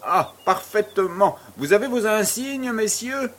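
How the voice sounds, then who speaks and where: A man plays a grand, jovial baron in an audio drama, high in pitch and swooping with lively intonation. He is pompous and ceremonious, and he takes his time over every phrase. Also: unhurried. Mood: brisk, jovial. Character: pompous, jovial male baron hosting a charity fête. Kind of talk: French audio drama acting.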